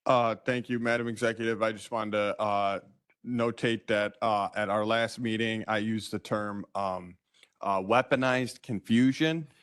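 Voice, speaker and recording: A man's voice: fairly low pitch, steady tone, a little slow, a bit bright, smooth. A man county board member speaking politely and hesitantly at a public meeting.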